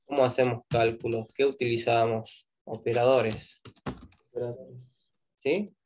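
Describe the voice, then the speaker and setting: A man's voice: mid-range voice, fairly even intonation, slow, darkish tone. A man with an Argentine Spanish accent teaching a live online programming class, explaining step by step and checking in with "¿sí?" after each point.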